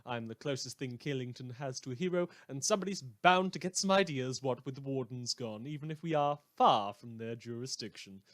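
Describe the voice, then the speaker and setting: A man's voice: mid-range voice, brisk, few pauses, fairly bright tone, lightly acted. A man acting as a snide, theatrical small-town radio host, reading mock news in a scripted fan drama. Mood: sardonic. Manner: sardonic, theatrical, swinging from muttered asides to outbursts.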